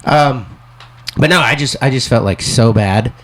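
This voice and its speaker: Slightly raspy man getting over the flu. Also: mid-range voice, moderate pace, fairly bright tone, slight background noise. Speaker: podcast co-host. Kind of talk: casual chat.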